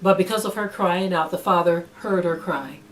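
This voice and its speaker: A woman's voice: on the low side, normal speed, a little hiss or hum, poor audio. A middle-aged woman preaching in an earnest sermon style, repeating phrases for emphasis.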